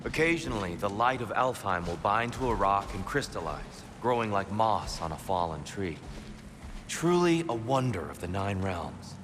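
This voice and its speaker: An actor playing a scheming old god disguised as a wise, humble warrior, who speaks in a measured, courtly way. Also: male voice, fairly low pitch, expressive, big-room sound, noisy background, lightly acted.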